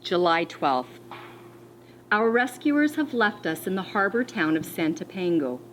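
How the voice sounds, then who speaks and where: Expressive woman reading a storybook aloud. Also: fairly low pitch, slight background noise, poor audio. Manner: expressive, deliberate reading with dramatic pauses. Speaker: schoolteacher. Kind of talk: read-aloud of a children's storybook with teacher asides.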